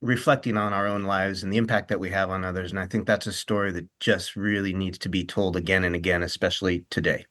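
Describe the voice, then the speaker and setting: A man's voice: low-pitched, fairly even intonation, fast talker, few pauses, fairly bright tone. A man who acts on stage, chatting in an interview in an earnest, reflective way. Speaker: stage actor, guest. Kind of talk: interview chat about a stage role.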